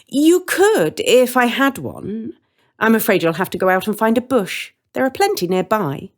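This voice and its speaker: Storybook witch, acted by a woman, sardonic, breezy, mock-polite, menacing, very animated pitch. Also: moderate pace. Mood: dry, breezy. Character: cheerfully menacing, sardonic woman witch, chatty and matter-of-fact.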